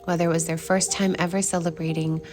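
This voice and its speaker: A woman's voice: fairly low pitch, little pitch movement, quick pace, darkish tone, faint music behind. A young woman host narrating a reflective travel vlog voice-over in an earnest, heartfelt way. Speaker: travel vlogger and trip host.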